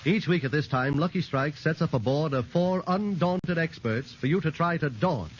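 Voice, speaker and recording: A male radio announcer delivering a scripted sponsor pitch in 1940s style on a vintage recording. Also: normal speed, highs cut off, slight background noise.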